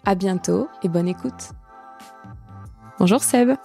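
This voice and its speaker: A woman's voice: mid-range voice, slow, creaky, mellow, faint music behind. A woman in her late thirties hosting an intimate conversational podcast. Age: late 30s. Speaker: podcast host.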